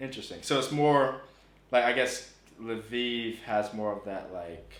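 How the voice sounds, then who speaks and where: A man's voice: on the low side, lively intonation, normal speed, fairly bright tone, echoey room. A man interviewing a guest in casual chat, laughing often and talking with many fillers.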